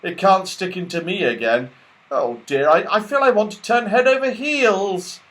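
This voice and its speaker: A man's voice: high voice, normal speed, a bit bright, voice pushed up. A man voicing an adventurous young boy in a children's storybook, breezy and full of exclamations. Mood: relieved, dizzy.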